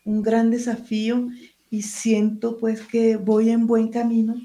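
Woman writer, mellow tone, hesitant, reads her own prose aloud. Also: on the high side, completely flat delivery, slow pace. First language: Spanish. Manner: hesitant, searching for words. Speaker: writer and teacher. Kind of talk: interview answers and reading from her book.

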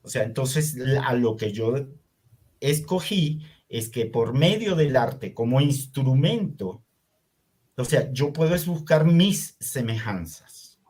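An older man from Venezuela, whose was Hungarian, telling his story in an interview in a reflective, unhurried way with many fillers. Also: medium pitch, animated, darkish tone, husky. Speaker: artist guest. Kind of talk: conversational interview.

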